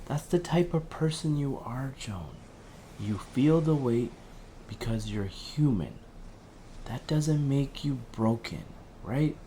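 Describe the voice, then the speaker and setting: Slow, mellow, earnest male helpline counsellor acted. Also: mid-range voice, expressive, a little hiss or hum. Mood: reassuring, insistent. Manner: pleading reassurance, frequent tag questions. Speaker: helpline host. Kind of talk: dramatic audio fiction acting.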